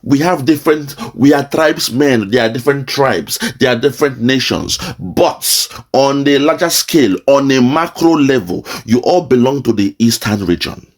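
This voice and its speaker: A man's voice: medium pitch, moderate pace, few pauses. A man with a Nigerian accent hosting an online show, talking back to a played clip in a casual mix of Pidgin and English. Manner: lively, mixes Nigerian Pidgin and English, laughs often. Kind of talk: casual commentary.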